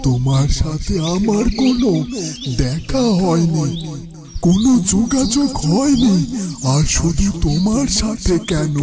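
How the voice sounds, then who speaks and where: Solemn dervish mentor for fairy-tale cartoon, acted by a man: fairly high male voice, protective, deliberate warnings, music underneath. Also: big pitch swings. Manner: cautioning, deliberate, repeats phrases. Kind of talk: dramatic Bengali cartoon voice acting.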